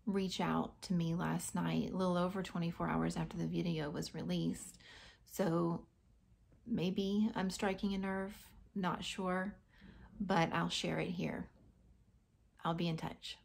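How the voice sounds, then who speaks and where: American woman, thirties, lowish gravelly voice, earnest casual vlog delivery. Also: level tone, a little slow. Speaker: vlogger. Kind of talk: casual, earnest talk to camera. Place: small indoor room.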